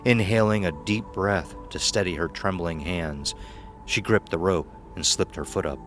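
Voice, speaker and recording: A man's voice: low-pitched, moderate pace, a bit bright, soft background music. A man reading a scripted horror story as narrator.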